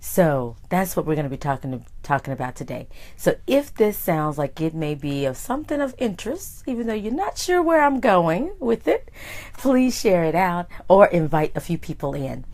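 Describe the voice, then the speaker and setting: A woman with a low, hoarse voice hosts a live motivational broadcast. She calls out viewers by name and her voice jumps up in bright, excited exclamations. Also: normal speed. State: hoarse, deeper voice than usual. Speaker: host and life coach. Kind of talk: live-streamed motivational talk.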